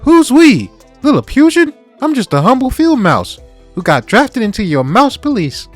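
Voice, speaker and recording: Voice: mid-range voice, very animated, normal speed, soft background music, natural voice. A voice actor playing an eager, cheeky talking field mouse, a humble police corporal in a comic dub. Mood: indignant. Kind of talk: comic dub character acting.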